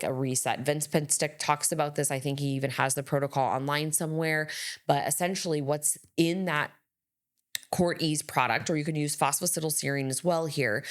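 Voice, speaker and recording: Low-voiced woman giving health advice. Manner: measured, advisory, with hedging fillers. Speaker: podcast co-host, health practitioner. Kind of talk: casual health podcast talk.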